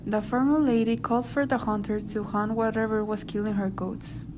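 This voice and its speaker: A woman's voice: on the high side, fairly even intonation, normal speed. A woman reading scripted narration for an amateur radio drama. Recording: noisy amateur home recording.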